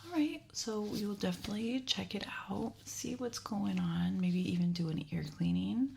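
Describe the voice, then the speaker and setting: A woman whispering in a breathy, gentle and reassuring way, speaking as an examiner in an ASMR medical roleplay. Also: mid-range voice, normal speed, noisy background. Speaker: ASMR creator playing a gentle ear examiner.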